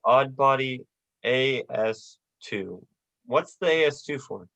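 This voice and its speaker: A young performer playing a despairing, sardonic family man in a radio play, with halting, dry delivery. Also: mid-range voice, animated, a bit bright. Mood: sardonic, incredulous. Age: teens or young adult. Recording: dull, lo-fi video call audio.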